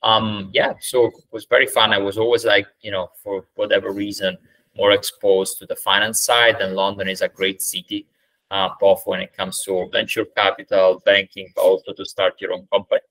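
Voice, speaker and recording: A man with an Italian accent speaks in a low, even voice with a bright, forward edge. He answers at a measured pace, scattering ums and uhs, heard through a video-call webcam mic. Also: low-quality recording. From Italy. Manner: measured, many "uh" and "um" fillers. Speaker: startup founder, guest. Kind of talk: conversational interview answers. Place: indoors, plain room.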